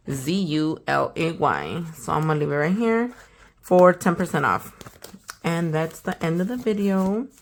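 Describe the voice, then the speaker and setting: Slow, low-voiced woman chatting hoarsely. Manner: chatty, slangy, trailing off to a whisper. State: slightly hoarse from a sore throat. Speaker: nail channel host. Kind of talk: casual product unboxing chat.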